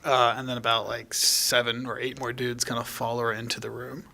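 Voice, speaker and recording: A man's voice: mid-range voice, flat delivery, a little fast, vocal fry, bright, forceful tone. A man acting as game master, improvising casual narration of a tabletop game in a loose, conversational way. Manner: loose, conversational, peppered with "like" and "kinda".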